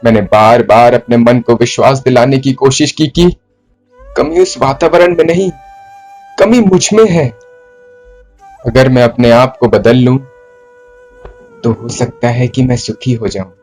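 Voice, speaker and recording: A man acts out a remorseful poet in a stage monologue, his mid-range voice brooding and troubled. He speaks in formal, literary phrases, deliberately, with dramatic pauses, as flute music plays behind him. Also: medium pitch, darkish tone, lightly acted. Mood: troubled, searching.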